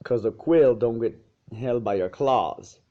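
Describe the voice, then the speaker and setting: Guy doing a slow folksy farm horse, patient steady type, kinda muffled home recording. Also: medium pitch, lightly acted. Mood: patient, approving. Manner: slow, measured, folksy drawl. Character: slow, considered farm stallion pony, steady and encouraging.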